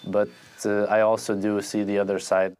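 A man's voice: on the low side, normal speed, clean tone. A young man gives casual, hesitant interview answers, often filling with "like". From Lithuania. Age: young adult. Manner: relaxed, hesitant, with frequent "like" fillers.

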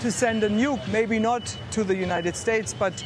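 A woman's voice: mid-range voice, moderate pace, music in the background. A woman with a German accent giving a live field report from outdoors, talking conversationally with frequent hesitations. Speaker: correspondent. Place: outdoors by a street outside a convention center.

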